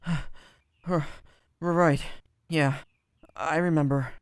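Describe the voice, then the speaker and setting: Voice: mid-range voice, fairly even intonation, very slow, smooth, dry recording, studio quality. An actor playing an anxious, earnest young boy in a fantasy audio drama, hesitant and stammering. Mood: dazed, subdued.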